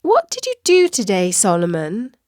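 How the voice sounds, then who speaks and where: A woman's voice: medium pitch, huge pitch swings, moderate pace, dry recording, studio quality. A woman voicing a clever, watchful schoolgirl witch in a storybook, with a knowing manner. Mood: probing.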